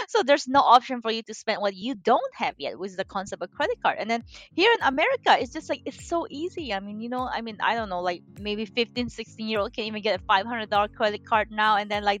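A woman from the Philippines, a financial coach chatting casually as an interview guest and often restarting her phrases. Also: a little high. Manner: animated, chatty, frequent restarts and "you know".

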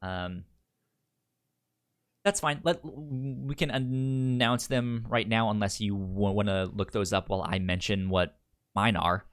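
A man co-hosting a podcast in casual, unscripted chat, with a stutter that repeats first syllables and a halting, explanatory way of talking. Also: fairly low pitch. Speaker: podcast co-host.

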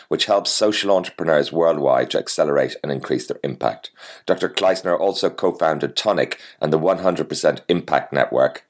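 A man hosting a podcast, reading a polished introduction and asking interview questions. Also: very deep voice. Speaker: podcast host.

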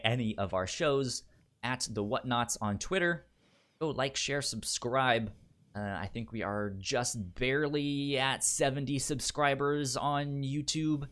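A man chatting casually as a podcast co-host, stuttering on word onsets and often repeating words and syllables in a halting way. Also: mid-range voice, a bit bright.

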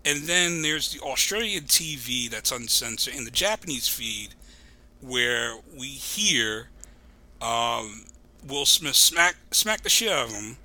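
A man's voice: mid-range voice, lively intonation, takes it slowly, bright, forceful tone, rough recording. A man who is a podcast guest, telling a story casually in a rambling, digressive way.

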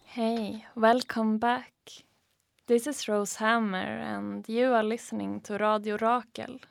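Slow, gravelly-voiced woman radio host. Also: fairly high pitch, level tone, dry recording. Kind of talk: documentary hosting and interviewing.

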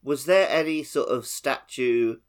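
A man's voice: mid-range voice, unhurried, slight background noise. A man co-hosting a casual gaming podcast, giving short, dry, teasing replies. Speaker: podcast co-host. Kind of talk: casual chat about a video game.

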